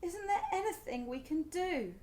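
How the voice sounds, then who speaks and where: High and swooping, a woman acts out a middle-aged British wife in a radio play. She is highly strung and resentful, with an accusing, sarcastic edge. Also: lively intonation, normal speed, darkish tone, a little hiss or hum. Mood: anxious.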